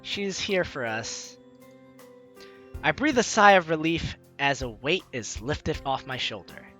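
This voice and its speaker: A man's voice: fairly high pitch, big pitch swings, a little slow, fairly bright tone, soft background music. A man hosting a let's play, expressively reading a story game's text aloud and reacting with enthusiasm. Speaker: gaming video host.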